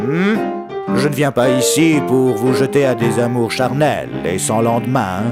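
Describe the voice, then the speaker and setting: A man voicing a showy male fake preacher who delivers a theatrical sales pitch for salvation. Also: measured pace, natural voice. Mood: preachy. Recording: music bed behind.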